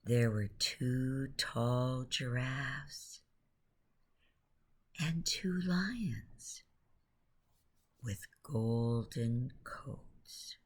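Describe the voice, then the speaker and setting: Very slow, breathy low-voiced woman telling bedtime stories. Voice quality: breathy, husky, often near whisper. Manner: hushed, soothing, slow with long pauses. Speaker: bedtime story narrator. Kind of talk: guided imagery bedtime storytelling.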